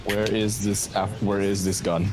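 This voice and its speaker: A man's voice: lowish voice, level tone, moderate pace. A man bantering casually as a speedrun co-commentator, asking questions in a joking, inquisitive way. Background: video game music.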